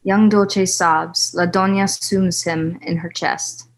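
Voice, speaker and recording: A woman reading screenplay stage directions at a table read in an even, measured way. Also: on the low side.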